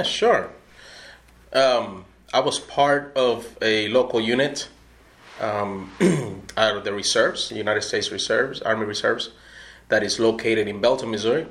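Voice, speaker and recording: A middle-aged man from Puerto Rico, an army veteran telling his story in an oral history interview, speaking in a measured way with many pauses. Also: on the low side, a bit bright.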